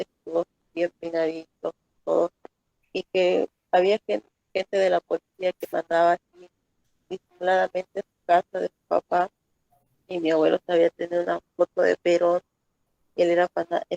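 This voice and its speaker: A woman student, describing her interview work in a halting, unsure way. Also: lowish voice, vocal fry, darkish tone, no room sound. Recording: dull, muffled audio.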